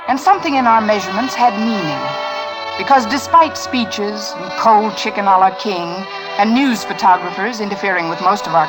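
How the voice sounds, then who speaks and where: A woman's voice: mid-range voice, natural voice, poor audio. An actress playing a poised, sardonic career woman in her thirties, dry and polished, in 1940s radio drama style. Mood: wry reminiscence. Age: 30s. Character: poised, sardonic best-dressed career woman confessing to murder. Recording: old radio broadcast with music underneath.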